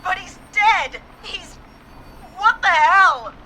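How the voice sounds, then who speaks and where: An actress voicing a frightened female police dispatcher in a horror video game, speaking urgently and haltingly. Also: very high-pitched, expressive, sharp tone, slight background noise, full character voice. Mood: panicked.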